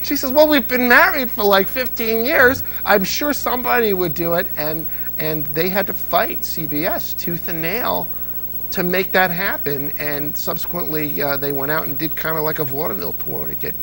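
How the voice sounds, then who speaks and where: A man with a gravelly, creaky edge to his voice talks casually as an interview guest. He explains and tells anecdotes with keen enthusiasm. There is faint noise behind him. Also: high-pitched, normal speed, a bit bright, poor audio. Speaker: interview guest, old-time radio fan.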